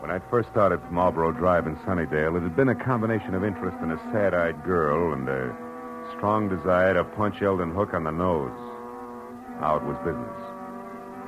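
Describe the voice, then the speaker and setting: Casting: male actor for hard-boiled radio private eye, very low, laconic, wry, muffled vintage sound. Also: close to an everyday voice. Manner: clipped, dry, laconic. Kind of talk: 1940s radio acting with noir narration. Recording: dull old radio recording.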